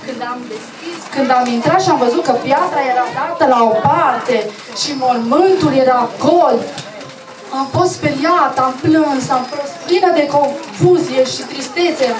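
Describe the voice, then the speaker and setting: A woman's voice: sits high, close to an everyday voice. A woman playing an earnest, emotive female follower of Jesus in a puppet show interview, speaking a little hesitantly. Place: echoey live puppet show with audience. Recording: echoey, distant, noisy, crowd behind.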